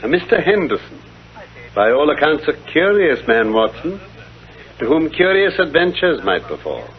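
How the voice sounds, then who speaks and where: A man playing a self-assured British gentleman detective in a vintage radio drama, speaking in precise, formal explanations. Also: on the high side, very animated, normal speed. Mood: intent, confiding. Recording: dull, lo-fi vintage radio recording.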